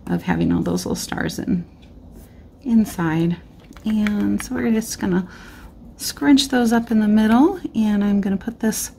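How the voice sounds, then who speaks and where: A woman's voice: mid-range voice, creaky, a little mellow, a little hiss or hum. A woman hosting a card-making tutorial, chatting casually and thinking aloud as she works, slightly losing her voice. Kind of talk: casual crafting tutorial narration. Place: indoor craft desk. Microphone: overhead camera, hands only on craft mat.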